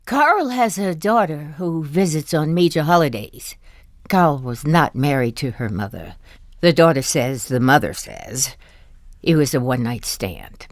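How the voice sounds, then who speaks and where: An actress playing a polite, patient middle-aged wife in an audio drama, speaking in a hesitant, confiding and quietly candid way. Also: female voice, deep voice, sing-song, unhurried, no echo, clean studio sound. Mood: confiding, wistful.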